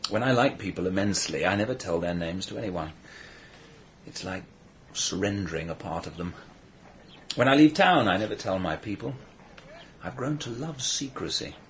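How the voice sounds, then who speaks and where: An actor playing an earnest, secretive painter with an English accent, hesitant and intense, in a radio drama. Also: male voice, sits low, animated, moderate pace, pauses often, fairly bright tone, a little hiss or hum. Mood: intense confiding.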